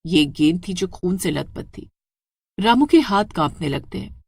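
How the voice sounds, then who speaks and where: A woman's voice: fairly low pitch, animated, normal speed, slightly rough, no room sound. A woman narrates a scripted horror story in a dramatic, suspenseful way.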